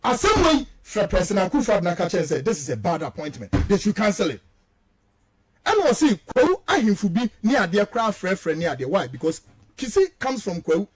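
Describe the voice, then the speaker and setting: A man's voice: high-pitched, big pitch swings, a little fast. A man with a Ghanaian accent giving heated, indignant political commentary on radio, mixing languages and repeating words for emphasis. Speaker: radio show guest commentator.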